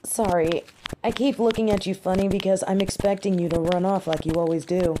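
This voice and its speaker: A woman's voice: on the low side, steady tone, a little fast, background noise, lightly acted. A woman acting a caring, brash and loyal young superheroine in a scripted audio roleplay, with a conversational, sassy delivery. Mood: tender, nervous. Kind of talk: scripted one-sided audio roleplay drama.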